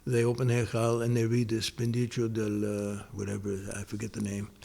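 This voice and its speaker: A man's voice: fairly low pitch, completely flat delivery, creaky, mellow. An older man reminiscing. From New York, United States. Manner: rambling, many restarts and pauses. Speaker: interviewee. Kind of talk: casual oral-history interview.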